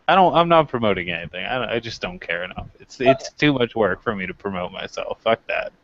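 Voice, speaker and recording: A man's voice: on the low side, animated, fairly quick, gravelly, fairly bright tone, a little hiss or hum. A man co-hosting a casual wrestling podcast, bantering in a blunt, sweary, self-deprecating way. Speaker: podcast co-host. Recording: dull lo-fi microphone sound.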